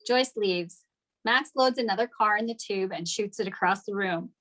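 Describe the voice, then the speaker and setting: A woman's voice: medium pitch, normal speed, fairly bright tone. A woman narrating scripted stage directions at a table read.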